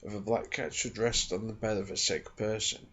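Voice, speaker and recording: A soft-spoken man with a husky voice reads from a non-fiction book in a measured, careful ASMR style. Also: on the low side, fairly even intonation, highs cut off. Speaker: ASMR creator reading aloud.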